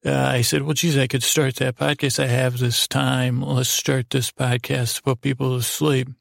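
A man hosting a podcast in a lulling, meandering monologue, halting and self-deprecating, with many false starts. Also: medium pitch, flat delivery, vocal fry, dry recording, clean studio sound. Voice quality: lulling, soothing tone. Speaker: podcast host. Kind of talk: rambling monologue meant to lull listeners to sleep.

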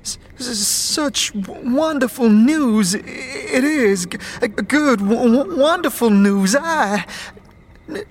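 A man's voice: very high-pitched, expressive, slow pace, slight background noise, heavily acted. A man voicing a timid male scavenger who stutters and speaks of himself by name. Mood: overjoyed.

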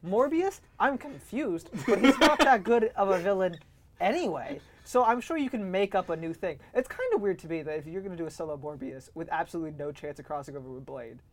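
Woman podcast guest just chatting pop culture, super animated, opinionated, jokes around, voice goes all over. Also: fairly low pitch, brisk. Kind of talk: casual pop-culture chat.

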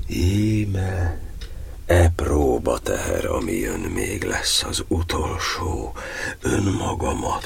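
Radio play: aged cardinal, devout, anguished, unyielding; very low, creaky, rasping delivery, solemn and theatrical. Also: male voice, fairly bright tone, heavily acted. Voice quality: gravelly, husky, very deep. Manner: declamatory, solemn, impassioned, dropping into breathy whispers.

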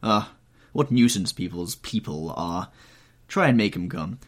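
A man voicing a cynical aristocratic gentleman in an audiobook, drawling out languid epigrams. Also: sits low, expressive, unhurried. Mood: wry.

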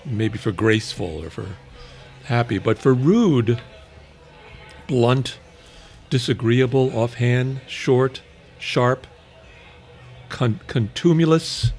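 Middle-aged man, rambling casual talk, stumbling restarts, medium-pitched voice. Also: slow, darkish tone. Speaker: podcast co-host. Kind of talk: casual married-couple chat.

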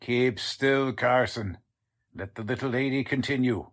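An actor playing a gruff, folksy police sergeant in 1940s radio drama style. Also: male voice, mid-range voice, a little slow, close to an everyday voice. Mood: bossy. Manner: folksy, colloquial, blustering. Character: gruff folksy male police sergeant, bossy yet easily baffled.

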